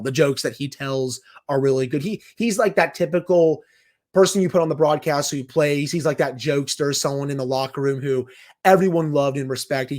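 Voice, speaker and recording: A man co-hosting a sports talk podcast in a conversational, enthusiastic way. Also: a little high. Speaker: podcast co-host.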